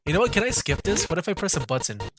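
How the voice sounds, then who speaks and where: A man's voice: high-pitched, sing-song, rapid-fire. Young man casually commentating over game music. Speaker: game streamer. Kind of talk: casual livestream gameplay commentary.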